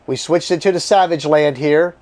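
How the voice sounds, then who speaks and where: A man hosting a casual card game unboxing, his voice strained and tiring as he reads card names aloud in short halting bursts. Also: fairly high pitch, a little mellow. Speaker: board game channel host.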